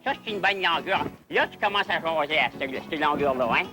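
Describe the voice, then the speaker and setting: A man's voice: a little high, fairly quick, music in the background, lightly acted. A man playing a boastful, rambling, folksy character in a comic sketch, speaking colloquial French with an Acadian accent.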